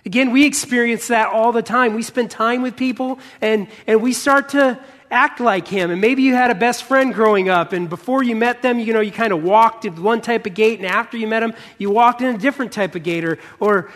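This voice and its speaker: A man's voice: very high voice, fairly quick, a bit bright. An American man with a touch of Midwestern accent preaches a sermon in a church auditorium, earnest and leaning on repetition for emphasis. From United States, born in Michigan. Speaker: pastor preaching. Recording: long hall reverb.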